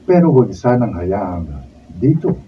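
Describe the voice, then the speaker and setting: A man announcing a radio drama in a measured, solemn, theatrical scripted style. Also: fairly low pitch, sing-song, far from the mic, lo-fi sound, a little hiss or hum. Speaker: drama announcer reading moral and credits.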